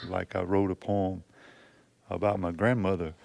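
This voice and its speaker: Low, gravelly, plainspoken man. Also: level tone, moderate pace, a little mellow. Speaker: prison poetry student. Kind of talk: halting personal talk and plain poem reading. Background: guitar music behind the voice.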